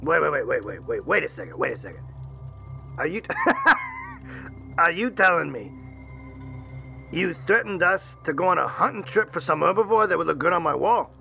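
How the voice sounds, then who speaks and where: A man playing a cocky, menacing gang leader in an audio drama, taunting with drawn-out sarcasm. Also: fairly high pitch, sing-song, vocal fry, sharp tone, soft background music, heavily acted, poor audio. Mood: mocking. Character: cocky, mocking, menacing tough-guy male gang leader.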